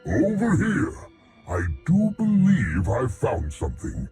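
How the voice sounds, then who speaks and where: A man voicing a fussy, curious scientist in a game, husky and gravelly, with formal, erudite wording. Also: mid-range voice, huge pitch swings, slow, dark tone, faint music behind. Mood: eager, curious. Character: scholarly male scientist, curious, fussy, admiring of knowledge. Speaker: doctor and researcher. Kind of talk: video game cutscene acting.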